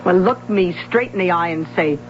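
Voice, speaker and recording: A man plays a streetwise barkeep who needles a cop with sly jokes, his voice pitched high for a man. It comes through a dull, lo-fi old radio broadcast with music underneath. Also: moderate pace, lightly acted. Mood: teasing. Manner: teasing, jokey, streetwise. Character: sly wisecracking male bartender Mums. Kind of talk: 1970s radio drama acting.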